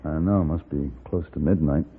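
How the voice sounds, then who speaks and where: An actor playing a cool, resourceful gunfighter in a 1950s radio western, speaking in clipped, matter-of-fact commands. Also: male voice, extremely low pitch, fairly even intonation, a little fast. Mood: calm, steady. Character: cool, resourceful male gunfighter protecting a woman. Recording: noisy, tinny 1950s radio recording.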